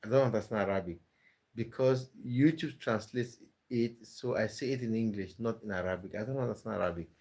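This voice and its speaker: A man's voice: fairly low pitch, fairly quick, darkish tone. A man hosting a casual live stream, reading viewers' comments aloud and answering them with easy laughter.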